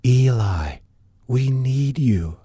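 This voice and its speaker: A man's voice: medium pitch, lively intonation, extremely slow, dark tone, husky, dry recording, voice pushed up, exaggerated voice. A man voicing a desperate young woman who pleads for help from a dream. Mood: pleading.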